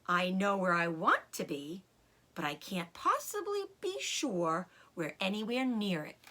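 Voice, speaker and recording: A woman voicing a clever, excitable and loving father fox in a storybook, with proud exclamations, commands and cautious hushes. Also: mid-range voice, measured pace, exaggerated voice. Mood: earnest, careful.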